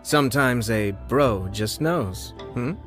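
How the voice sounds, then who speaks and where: A composed young nobleman from a video game, played by a male actor. He speaks formally and smoothly measured, slyly amused, at a middling pitch with music clearly behind him. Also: close to an everyday voice. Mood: amused, approving.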